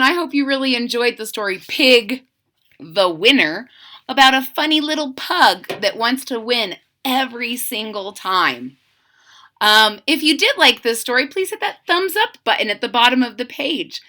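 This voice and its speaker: A woman reading a picture book aloud in a theatrical, drawn-out way, coaxing young listeners with questions and explanations. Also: high voice. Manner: animated, theatrical, drawn-out emphasis; coaxing, explaining asides to children. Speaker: children's story reader and channel host.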